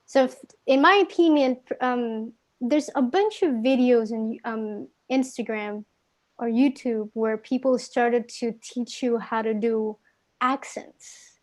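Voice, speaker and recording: A woman's voice: a little high, slow. A young woman from Indonesia with an Indonesian accent, giving casual tips in a live online English class, with many fillers and restarts. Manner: casual, filler-heavy, self-correcting. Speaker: guest English speaking tutor.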